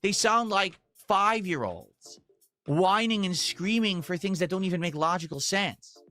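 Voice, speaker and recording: A man's voice: high voice, animated, measured pace, bright, forceful tone, soft background music. A man who streams video games, complaining to his viewers in an aggrieved livestream rant. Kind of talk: ranting livestream talk to viewers.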